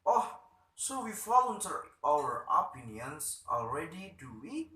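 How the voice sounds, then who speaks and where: A man playing a stern, threatening, pompous town official in a play, formal, scolding and halting. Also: a little high, big pitch swings, pushed and bright, echoey room, voice pushed up, full character voice.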